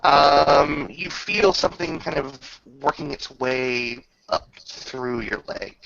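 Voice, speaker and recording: A man acting as game master, improvising descriptions and rulings in casual, halting talk with a creaky voice. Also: fairly high pitch, a bit bright, highs cut off. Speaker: game master running a tabletop roleplaying game.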